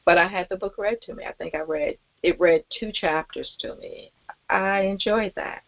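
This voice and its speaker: A woman giving an informal, rambling promotional talk as host, with halting, emphatic delivery and pauses mid-sentence. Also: deep voice, dry recording, muffled recording. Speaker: network marketing promoter and host.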